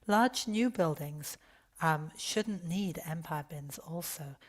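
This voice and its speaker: A hoarse woman who has nearly lost her voice, testifying hesitantly over a video call at a public hearing. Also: deep voice, slow pace. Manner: hesitant, self-correcting.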